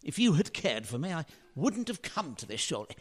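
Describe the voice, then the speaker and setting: An actor playing a wry, regretful middle-aged husband, a washed-up painter, in a theatrical radio play style. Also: male voice, fairly high pitch, big pitch swings, brisk, breathy edge. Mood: wistful, regretful. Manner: wistful, theatrical.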